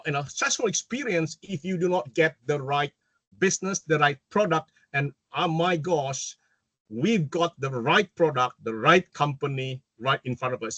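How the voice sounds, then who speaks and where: A man's voice: fairly high pitch, dry recording. A man from Malaysia with a mixed Malaysian and British accent, giving an enthusiastic webinar talk over a video call, often stopping and restarting his sentences. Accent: Malaysian-British mix. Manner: animated, enthusiastic, self-interrupting. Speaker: guest speaker. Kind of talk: webinar presentation.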